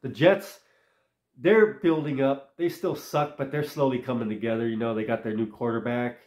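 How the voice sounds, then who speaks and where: A man's voice: mid-range voice, fairly even intonation, moderate pace, a little mellow, reverberant. A man with a stuffy nose from being sick, hosting his own channel and giving rambling, opinionated football takes. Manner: rambling, opinionated, talks in loose runs. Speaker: sports channel host. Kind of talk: casual solo sports commentary.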